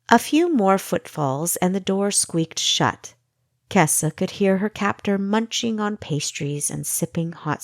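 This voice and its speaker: A woman reading an audiobook story as its narrator, in a measured, expressive storytelling manner. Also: lowish voice, no echo, clean studio sound. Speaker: author reading own audiobook. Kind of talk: scripted fiction narration.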